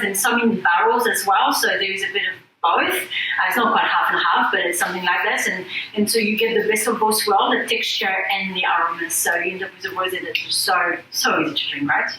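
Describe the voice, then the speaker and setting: A woman's voice: medium pitch, brisk, pushed and bright, slight background noise. A woman from France, a winemaker, talking casually at a gallery event, thoughtful and full of ums. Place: gallery room. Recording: echoey room from far off.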